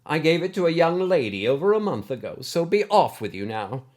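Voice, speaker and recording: A man voicing a calm, stern older female schoolteacher who is secretly alien, deliberate and slightly ominous, in a storybook. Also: a little high, pitched above the performer's own voice.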